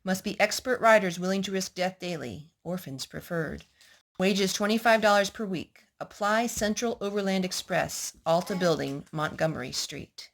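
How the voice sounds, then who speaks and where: A woman's voice: medium pitch, normal speed, creaky. A woman hosting a children's storytime, reading picture books aloud and asking little prompting questions in a child-directed way.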